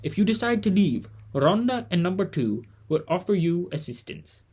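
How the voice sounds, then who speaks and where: An actor playing a kindly, earnest old mentor in a family audio drama, speaking in formal, deliberate phrases. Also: medium pitch, big pitch swings, a little mellow, breathy edge. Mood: earnest, measured.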